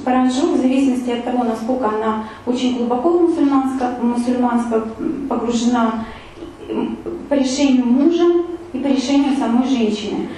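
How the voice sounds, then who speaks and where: A woman recounts her trip to a live audience in a loose, rambling way, with many fillers and restarts. Her voice is mellow and fairly high. She sounds set back from the mic in a very echoey room, with a faint hiss behind her. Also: distant mic. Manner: chatty, full of fillers and restarts. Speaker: speaker giving a travel talk with photos. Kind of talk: casual spoken travel story to an audience.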